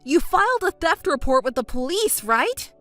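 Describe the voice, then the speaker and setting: A woman's voice: sits high, sing-song, normal speed, soft background music, heavily acted. A woman voicing a scornful, cutting young woman. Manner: cutting, scornful, sharp retorts.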